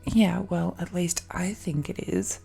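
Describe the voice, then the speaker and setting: An affectionate, possessive dragon-blooded fiancée, played by a woman in a romantic audio roleplay. Her voice is fairly low and mellow, with some gravelly fry. She murmurs tenderly and hesitantly, as if close to her beloved. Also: a little slow, soft background music, full character voice. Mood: coaxing, affectionate.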